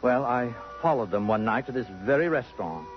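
A man's voice: mid-range voice, animated, brisk, faint music behind, lightly acted. An actor playing a suave, possessive, sardonic society man in a 1950s radio drama, with arch and precise pompous diction. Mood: wry, measured. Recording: muffled vintage radio broadcast.

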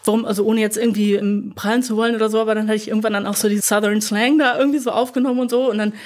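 Interview guest: young woman, relaxed and chatty, full of fillers and quick laughs. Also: medium pitch. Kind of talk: casual conversational interview.

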